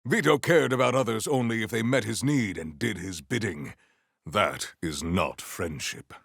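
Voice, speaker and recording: An actor playing a solemn, honor-bound warrior-monk in a video game, speaking in a formal, stately, theatrical way. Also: male voice, on the low side, moderate pace, gravelly, a bit bright, slightly rough, no room sound, studio quality, exaggerated voice. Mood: somber. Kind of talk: scripted video game dialogue.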